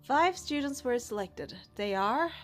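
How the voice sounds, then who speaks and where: A woman's voice: a little high, expressive, a little slow, faint music behind. A young woman reading a game's story narration and first-person dialogue aloud in a scripted style. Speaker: narrator of a game playthrough.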